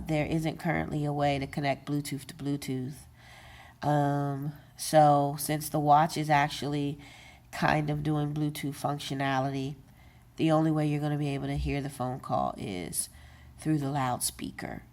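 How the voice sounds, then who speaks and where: A woman with a low voice explains a device step by step. She takes her time and talks off the cuff, with fillers and quick corrections. It sounds like a casual home tutorial. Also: darkish tone. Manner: unscripted, with many fillers and self-corrections. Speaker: tech video presenter. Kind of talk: casual how-to demonstration.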